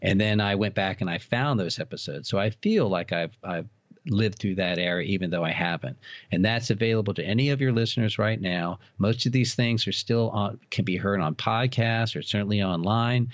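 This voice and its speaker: A man's voice: on the low side, normal speed. A middle-aged man talking casually as an interview guest, explaining things in a folksy, enthusiastic way. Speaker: interview guest, author on old time radio. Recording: roomy, dull lo-fi sound.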